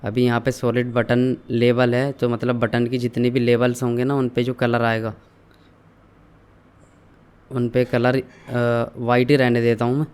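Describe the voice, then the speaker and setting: A young man calmly walking viewers through an online store setup, step by step, mixing English terms into his explanations. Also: mid-range voice, a little slow, smooth. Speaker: online tutorial instructor. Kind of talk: screen-share software walkthrough.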